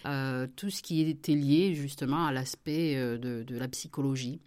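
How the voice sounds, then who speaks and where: Low-voiced woman. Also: normal speed. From southern France. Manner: hesitant, many fillers and restarts, warm laughs. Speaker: member of parliament, interview guest. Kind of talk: relaxed personal interview.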